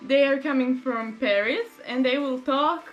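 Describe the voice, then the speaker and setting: Female vlog host, native Serbian speaker, high voice, casual interview chat, music underneath. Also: moderate pace, highs cut off. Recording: music behind the voice.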